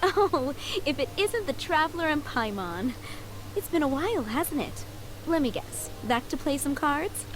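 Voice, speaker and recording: A woman's voice: high-pitched, big pitch swings, unhurried, over music. An actress playing a friendly, poised young townswoman in a video game, chatting in a polite, breezy, helpful way. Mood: teasing.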